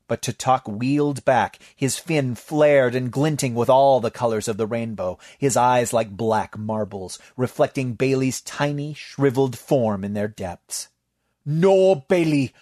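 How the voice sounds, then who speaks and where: Man with a steady, dry reading voice. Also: medium pitch, unhurried, hardly any pauses, dry recording. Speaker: story reader. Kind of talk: scripted narration.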